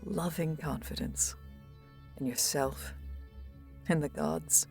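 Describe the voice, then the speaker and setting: A woman playing a brooding, wary alien seer in an audio drama, speaking in a hushed, slow and solemn way. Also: deep voice, fairly even intonation, soft background music. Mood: wary doubt.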